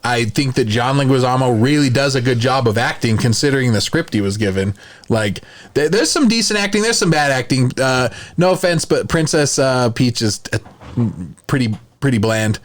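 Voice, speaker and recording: A man's voice: mid-range voice, expressive, moderate pace. A man hosting a podcast, chatting casually about films in an enthusiastic, rambling way. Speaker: podcast host.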